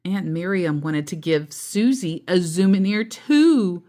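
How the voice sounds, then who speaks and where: A woman's voice: fairly low pitch, takes it slowly, warm tone. A woman reading a children's story aloud expressively, with casual asides along the way.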